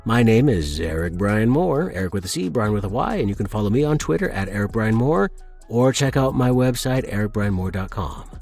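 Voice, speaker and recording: A man's voice: lowish voice, lively intonation, fairly quick, vocal fry, darkish tone, faint music behind. A man hosting a story podcast and reading scripted narration. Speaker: podcast host and story reader.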